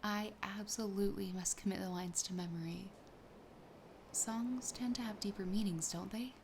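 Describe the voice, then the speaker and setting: A woman acts out a sassy, wistful young siren in an ASMR roleplay. The voice is soft and breathy, often slipping into a whisper, gentle and close to the mic. There is clear background noise behind it. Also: medium pitch, fairly even intonation, normal speed, natural voice. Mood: delighted, curious.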